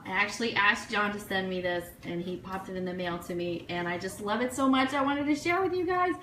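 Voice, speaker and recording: A woman from the United States hosting a casual, chatty livestream radio show in a gushing, excitable way, full of thank-yous and shout-outs. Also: medium pitch, brisk. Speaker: online radio show host. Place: room, live social media stream. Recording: echoey room, faint noise.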